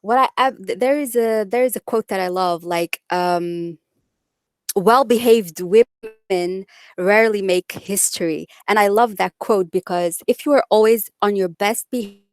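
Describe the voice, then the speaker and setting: A woman's voice: medium pitch, normal speed, smooth, no echo. Young dutch-accented woman on a live stream, blunt and direct, dry close sound. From Netherlands. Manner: blunt, outspoken, says it like it is. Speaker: live-stream guest answering viewer comments. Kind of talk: live-stream chat with viewers.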